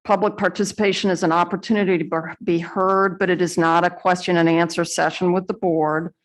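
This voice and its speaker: A woman presiding over a school board meeting, explaining the rules in a measured, procedural way with the odd filler. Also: on the low side, flat delivery. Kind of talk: chairing a public meeting.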